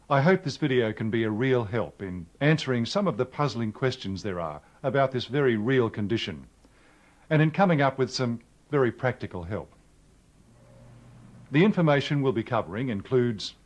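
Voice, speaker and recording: An Australian man presenting an educational film in a measured, explanatory, scripted style. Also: mid-range voice.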